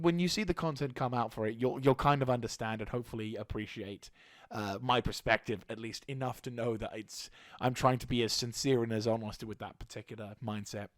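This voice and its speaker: A man with a slightly hoarse throat giving a casual channel update as a gaming YouTuber, rambling and halting with frequent restarts. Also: mid-range voice, dry recording, clean studio sound.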